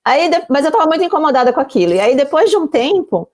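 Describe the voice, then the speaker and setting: A woman from Brazil with a Brazilian Portuguese accent, a podcast guest who tells her own story openly, pausing and restarting often as she thinks it through. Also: high-pitched, sing-song, darkish tone. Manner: candid, many fillers and restarts, self-questioning. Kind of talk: candid personal storytelling.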